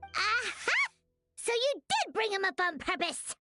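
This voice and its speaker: A woman voicing a tiny, excitable floating girl companion in a game, talking in bouncy bursts with loud exclamations. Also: extremely high pitch, unhurried, exaggerated voice. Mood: startled. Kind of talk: game cutscene voice acting. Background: faint game music.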